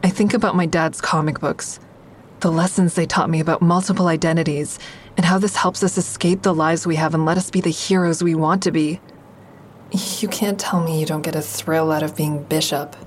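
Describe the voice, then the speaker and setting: A woman's voice: low-pitched, steady tone, moderate pace, a little hiss or hum, lightly acted. An actress playing a frank, sardonic young woman who narrates her own story in an audio drama in a confessional, wry way. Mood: uneasy, reflective. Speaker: actor in a fiction podcast.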